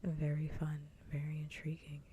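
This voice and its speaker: A woman hosting an ASMR livestream, chatting casually in a soft, breathy whisper close to the mic, with unhurried, sweary rambling. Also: low-pitched, monotone, a little hiss or hum. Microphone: close ASMR microphone.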